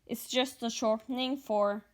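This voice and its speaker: Slow-talking Norwegian woman vlogger. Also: a little high, steady tone. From Norway. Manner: halting, thinking aloud with fillers. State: just woken up, short on sleep. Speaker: stitching vlogger. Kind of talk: casual vlog chat.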